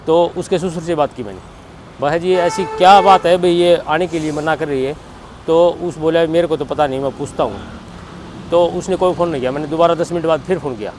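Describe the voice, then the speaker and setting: Middle aged haryanvi guy telling what happened, plain talk, bit high pitched, some traffic noise behind. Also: darkish tone. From Haryana, India. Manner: plain-spoken, quotes reported dialogue as he narrates. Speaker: bereaved father giving a statement. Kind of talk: spontaneous testimony, recounting events.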